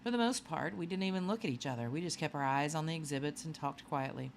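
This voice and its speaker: A woman reading a children's novel aloud in an animated storytelling manner. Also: low voice, fast talker, gravelly, a little hiss or hum. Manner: animated storytelling with acted dialogue. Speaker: audiobook reader.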